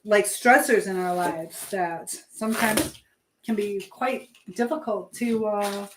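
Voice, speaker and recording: A woman's voice: medium pitch, slow pace. A woman chatting casually and thinking aloud in a rambling way, as a livestream host busy in her home kitchen. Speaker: livestream host, language teacher. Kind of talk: casual live chat while cooking. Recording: roomy echo, clear background noise.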